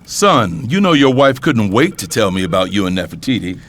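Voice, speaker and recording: A man's voice: fairly low pitch, sing-song, brisk, fairly bright tone. A man playing a blunt, commanding middle-aged father and boss, stern and emphatic in an audio drama. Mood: stern, exasperated.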